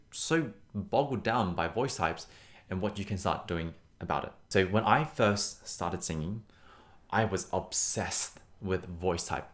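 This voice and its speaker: A young man who teaches singing, talking to camera in an earnest, encouraging way and often repeating words for emphasis. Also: lowish voice. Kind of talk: casual teaching vlog. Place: Australia.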